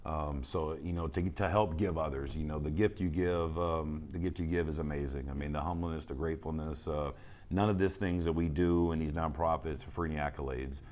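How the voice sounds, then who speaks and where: A man's voice: a bass voice, steady tone, a little fast, a little mellow. A middle-aged man from Missouri, a guest on a local talk show, speaking with many fillers and restarts. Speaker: guest, charity council president. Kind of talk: casual interview chat.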